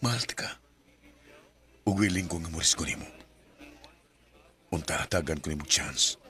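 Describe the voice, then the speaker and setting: A man's voice: very deep voice, moderate pace, sharp tone. A man playing an earnest young man who reassures the woman he loves.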